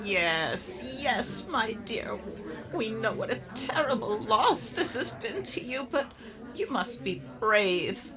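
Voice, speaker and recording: A woman's voice: a little high, very animated, slow, over music. A woman playing a kindly, patronising mourner offering soothing comfort in a 1930s-style radio horror drama.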